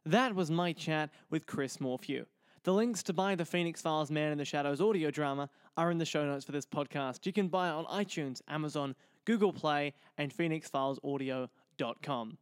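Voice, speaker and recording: A man's voice: a little high, moderate pace, smooth, dry recording, clean studio sound. An Australian man hosting a podcast in a conversational interview style. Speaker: podcast host.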